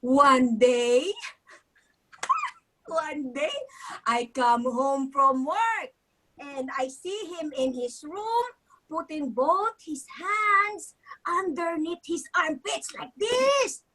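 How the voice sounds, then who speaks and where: A woman's voice: high voice, animated, drawn-out words, pushed and bright, exaggerated voice. An actress playing a nagging, guilt-tripping older Filipino mother with an accent, dramatic and scolding, in a staged comedy reading. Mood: animated storytelling. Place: virtual live event, recorded remotely.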